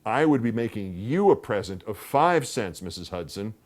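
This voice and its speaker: A man's voice: fairly low pitch, big pitch swings, normal speed, darkish tone. A man reading a story aloud, voicing a careful, stiff grocer in a storybook. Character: careful, stiff middle-aged male grocer.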